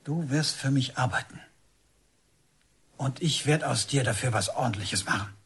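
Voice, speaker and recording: An actor playing a stern, domineering policeman father in a radio drama, commanding and interrogating in a husky voice. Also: medium pitch, normal speed, a bit bright. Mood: low, insistent. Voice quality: husky, rasping. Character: stern, domineering father, a village policeman, pressuring his son. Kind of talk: German radio drama acting.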